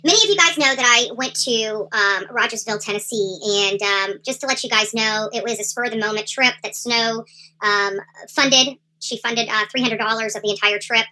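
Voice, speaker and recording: A woman hosting a livestream in a casual, rambling commentary style with halting delivery. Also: on the high side, sharp tone, poor audio. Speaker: livestream host. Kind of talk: casual livestream chat, true crime commentary.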